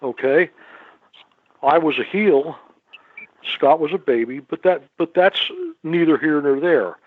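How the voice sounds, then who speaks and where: A man's voice: a little high, measured pace, dry recording. A man, a guest on a podcast panel, talks emphatically and repeats his points. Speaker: guest, former wrestler. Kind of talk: casual podcast panel chat. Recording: tinny phone-like remote line.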